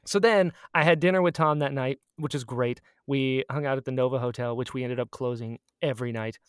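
A podcast co-host of ambiguous-sounding sex with a medium-pitched voice, recorded close and dry. The delivery is casual and gushing, full of a fan's enthusiasm, with phrases repeated for emphasis. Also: fairly even intonation, a little fast, smooth, dry recording. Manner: acts out quoted exchanges in storytelling. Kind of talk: casual chatty podcast catch-up.

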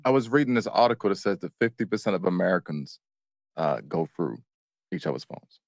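A man co-hosting a casual relationship talk. His pitch moves around a lot as he teases and repeats his points. The sound is dry, with little room in it. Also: expressive, fairly quick, dry recording. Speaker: podcast co-host.

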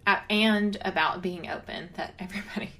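A woman's voice: medium pitch, fairly even intonation, brisk, a crowd in the background. A young woman co-hosting a casual chat podcast who laughs often and fills her talk with "um" and "like". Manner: giggly, lots of fillers like "um" and "like". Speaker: podcast co-host.

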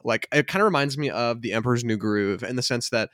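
A young man in his twenties chats casually as a podcast co-host, talking fast with plenty of "like" and "um." His voice sits in a middle pitch. It is recorded close and dry, with no room sound. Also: fairly bright tone, no echo. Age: 20s. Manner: quick, chatty, frequent fillers. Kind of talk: casual chat.

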